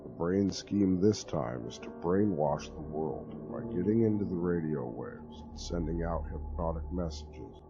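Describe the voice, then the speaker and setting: A man narrating a horror story with a lowish voice that swings widely in pitch as he leans into the telling. The sound is dull and lo-fi, with clear music playing underneath. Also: a little slow, few pauses. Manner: expressive, conversational storytelling. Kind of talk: dramatic horror story reading.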